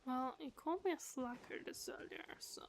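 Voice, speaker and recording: High-pitched, creaky-voiced woman gaming. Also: moderate pace, faint music behind. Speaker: game streamer. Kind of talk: casual gameplay chat.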